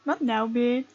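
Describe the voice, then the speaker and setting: A woman gaming host reads and comments on a visual novel. Her voice is a little high and breathy. The sound is slightly muffled, with game music playing quietly underneath. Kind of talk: let's play commentary, reading game text aloud.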